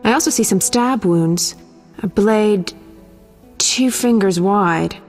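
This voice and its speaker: A woman's voice: mid-range voice, takes it slowly, a little mellow, soft background music. A woman voicing a confident, professional medic in a video game, clinical and matter-of-fact. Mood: clinical, brisk. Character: brisk, confident young female medic, proud professional. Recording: long hall-like reverb.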